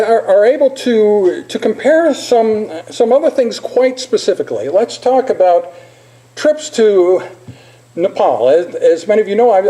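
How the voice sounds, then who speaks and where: An older man, a physician, presenting an informal talk in a halting, self-deprecating manner. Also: sits high, mellow, poor audio.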